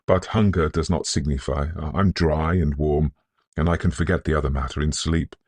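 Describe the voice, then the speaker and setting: A man voicing a proud, emotional young Victorian gentleman in an audiobook, genteel and impulsive. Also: extremely low pitch, fairly even intonation, moderate pace, no room sound. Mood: cheerful, grateful.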